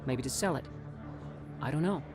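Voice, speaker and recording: A man's voice: mid-range voice, normal speed, music in the background, natural voice. Man acting an eager, scheming alien scientist. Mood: persuasive. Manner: earnest, persuasive, precise. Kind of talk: video game voice acting.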